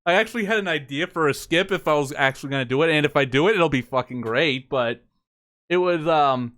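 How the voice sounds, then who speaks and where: Rambling man, slightly high voice. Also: brisk, fairly bright tone. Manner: rambling, full of "like" and "it's like". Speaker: podcast co-host. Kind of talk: casual podcast chat.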